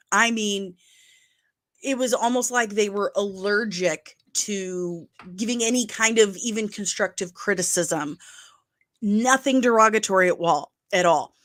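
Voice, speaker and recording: Casual stream host, middle-aged woman, nasal, talks with emphasis and quick asides, close dry mic. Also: medium pitch, fairly bright tone, no echo. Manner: chatty, emphatic, with asides and laughs. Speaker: pop culture news host. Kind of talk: casual livestream chat.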